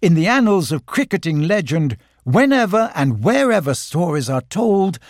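A man's voice: sits high, sing-song, moderate pace, no echo, clean studio sound, voice pushed up. A man voicing a kindly, earnest young boy in a storybook. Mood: solemn, earnest.

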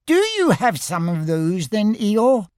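A man's voice: high voice, expressive, takes it slowly, a little mellow, clean tone, no echo, studio quality, voice pushed up. A man voicing a small, timid, kindly piglet in a storybook reading, nervous and earnest. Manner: nervous, earnest, eager to help.

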